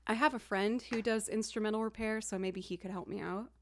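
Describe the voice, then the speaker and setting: A young woman with a low alto voice, chatting casually on a live stream and thanking viewers as she talks. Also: fairly even intonation, a little fast. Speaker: streamer and musician.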